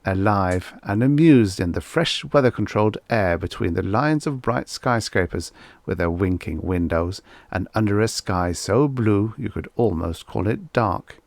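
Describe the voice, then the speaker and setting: A man reading a short story as an audiobook narrator, in a measured, expressive storytelling manner. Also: lowish voice, gravelly, no echo.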